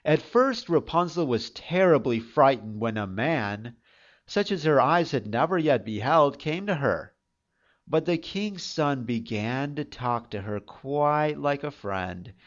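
A man narrating a fairytale from a script in a dramatic, measured, expressive storytelling style. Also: mid-range voice, muffled recording.

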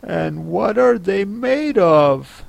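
Reader doing a timid little girl from a storybook, slow n gentle, polite, wondering. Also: medium pitch, vocal fry, no echo, voice pushed up, full character voice. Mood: timid, thoughtful. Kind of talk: storybook character reading.

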